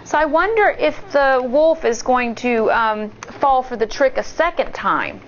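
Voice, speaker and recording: A woman teacher reading a storybook aloud to children with deliberate, expressive delivery and pausing to comment. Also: sits high, muffled recording, slight background noise.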